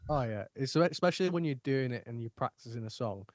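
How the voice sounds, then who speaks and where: A man's voice: mid-range voice, quick pace, no room sound. A young man hosting a podcast, chatting casually and acting out what he used to say. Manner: animated storytelling, acts out his own past remarks. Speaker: podcast host. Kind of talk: casual chat.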